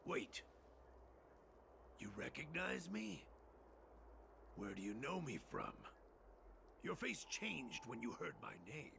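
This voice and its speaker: A man's voice: mid-range voice, wildly swooping pitch, slow, gravelly, pauses often, noisy background, exaggerated voice. An actor playing a fanatical, scornful male cult leader in a video game, with theatrical, grandiose delivery. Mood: suspicious. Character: fanatical male cult leader, former spearman turned zealot. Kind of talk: video game villain acting.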